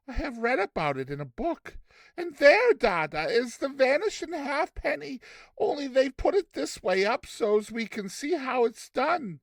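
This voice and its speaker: A man's voice: extremely high pitch, a little slow, gravelly, no echo, voice pushed up, heavily acted. A man voicing an eager, curious little boy full of wonder in a storybook reading. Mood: excited wonder. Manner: excited, childlike wonder.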